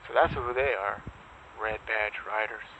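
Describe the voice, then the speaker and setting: A man playing an ominous, sardonic pirate radio host in a zombie apocalypse drama, speaking in deliberate dramatic pauses. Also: on the low side, fairly even intonation, bright, forceful tone. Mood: bitter, warning. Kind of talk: dramatic scripted radio monologue. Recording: muffled noisy lo-fi radio broadcast.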